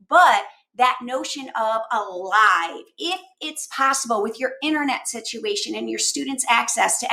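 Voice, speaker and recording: Bright, high-voiced woman explaining with emphasis. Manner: emphatic, animated, with rhetorical questions. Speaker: education consultant answering teachers' questions. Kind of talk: conversational instructional talk to camera.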